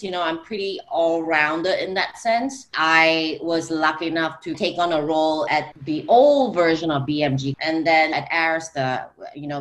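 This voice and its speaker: A woman's voice: sits low, a bit bright. A woman from Singapore, a music executive, talking casually on a panel with many "like"s and "you know"s. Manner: chatty, filler-heavy with "like" and "you know".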